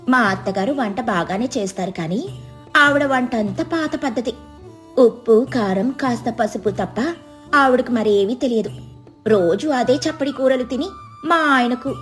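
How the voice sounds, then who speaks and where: A woman's voice: a little high, normal speed, fairly bright tone, faint music behind, natural voice. A woman voicing a boastful, eager young village housewife with a showy, confident manner in a storybook tale. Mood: dismissive, confident. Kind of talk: storybook dialogue.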